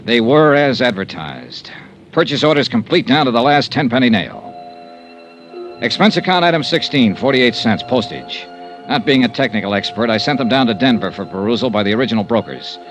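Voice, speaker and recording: An actor as a clipped hardboiled American investigator. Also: male voice, medium pitch, sing-song, brisk, close to an everyday voice. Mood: dry, matter-of-fact. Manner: clipped, matter-of-fact hardboiled narration. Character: tough, terse male insurance investigator. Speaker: lead actor and narrator of a detective serial. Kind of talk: old-time radio detective acting. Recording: vintage radio recording, faint music.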